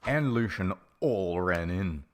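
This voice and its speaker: A man narrating a comic dramatic reading of fan fiction in a deadpan manner. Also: low voice, slow. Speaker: story narrator.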